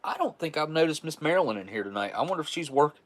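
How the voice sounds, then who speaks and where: A man's voice: on the high side, lively intonation, quick pace. A man in his forties hosting a live stream, chatting casually with viewers in a rambling, chuckling, easygoing way. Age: 40s. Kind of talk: casual live chat reading viewer messages.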